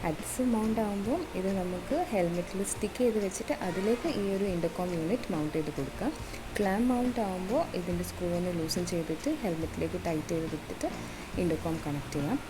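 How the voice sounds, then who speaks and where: A woman's voice: fairly low pitch, fast, noisy background. A woman with a Malayali accent gives a hands-on, step-by-step installation demonstration in a conversational way, mixing in English terms. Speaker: presenter of a product installation tutorial. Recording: music playing behind the voice. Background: clearly audible background music.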